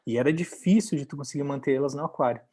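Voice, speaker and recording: Brazilian guy interviewing and translating, talks quick, soft mellow tone. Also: medium pitch. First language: Portuguese.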